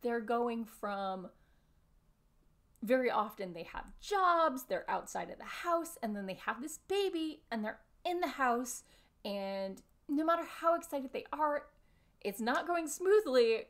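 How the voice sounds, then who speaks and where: Higher-voiced woman explaining earnestly. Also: expressive, unhurried. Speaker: video presenter summarizing a discussion panel. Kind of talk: explanatory talk to camera. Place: small furnished room at home, indoors.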